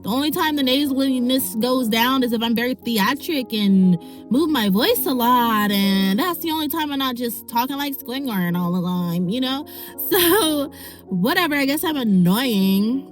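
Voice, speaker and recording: A woman's voice: sits high, expressive, moderate pace, faint music behind. A young woman with a nasal voice hosting her own podcast, chatty and self-mocking in a casual solo talk. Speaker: podcast host.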